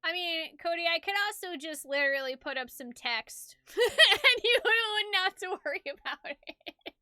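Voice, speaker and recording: Female game streamer and narrator; bright, swooping delivery, playful and expressive. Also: very high-pitched, measured pace, no room sound, clean studio sound. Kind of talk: live stream chat mixed with expressive story narration.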